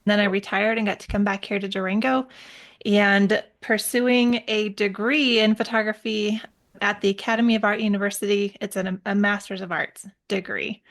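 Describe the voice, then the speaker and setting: A middle-aged woman from the United States, a guest in an interview, explaining things in a steady way with a few restarts. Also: medium pitch, moderate pace. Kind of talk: casual interview chat.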